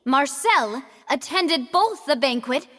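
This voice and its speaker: A woman's voice: sits high, expressive, takes it slowly, a bit bright, exaggerated voice. An actress playing a determined, impassioned young woman pleading a case in a video game, earnest and declarative. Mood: assertive. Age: young adult. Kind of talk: English video game voice acting. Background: game music.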